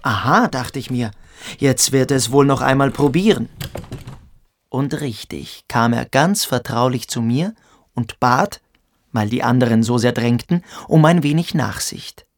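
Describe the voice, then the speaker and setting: An actor playing a cold, self-assured military school cadet, recounting events in a clipped, matter-of-fact radio drama manner. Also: male voice, medium pitch, unhurried, natural voice. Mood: cold, calculating.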